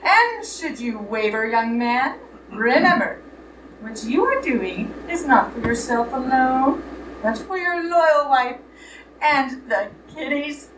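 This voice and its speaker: High-voiced, slow, pompous judge played by a woman. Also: lively intonation. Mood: sentimental blessing. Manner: grandiose, deliberate. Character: pompous, gullible, sentimental veteran judge. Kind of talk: live radio play acting. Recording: roomy, distant, clearly noisy stage recording.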